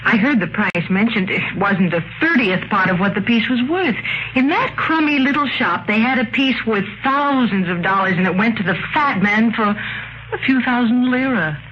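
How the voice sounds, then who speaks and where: A woman's voice: on the high side, lively intonation, normal speed, close to an everyday voice. A woman playing a poised, coaxing young woman seeking help in an old radio drama. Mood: earnest recounting. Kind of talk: 1950s radio drama acting. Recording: muffled, noisy old radio recording.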